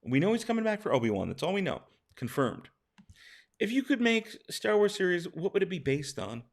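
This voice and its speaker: A man with a hoarse, tired voice, casually reading out viewer questions and answering them on a late-night live stream. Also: high-pitched, sing-song, fairly quick.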